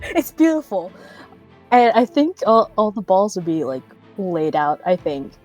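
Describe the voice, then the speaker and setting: A woman's voice: mid-range voice, sing-song, unhurried, darkish tone, soft background music. A woman casually improvising what her character does in a tabletop roleplaying game, in a giggly, informal way.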